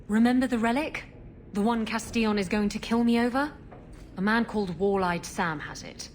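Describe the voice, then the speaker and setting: A woman's voice: mid-range voice, normal speed, a little hiss or hum, lightly acted. A woman playing a brash, evasive female pirate rogue in a video game, with a glib, wheedling, flippant manner. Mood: urgent, pleading.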